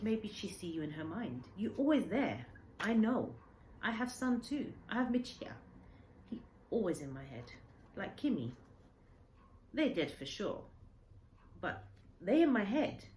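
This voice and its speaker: A woman voicing an earnest, gentle, sorrowful old Japanese man in a children's storybook, speaking halting, simple broken English. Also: mid-range voice, big pitch swings, voice pushed up. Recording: echoey room, faint background noise.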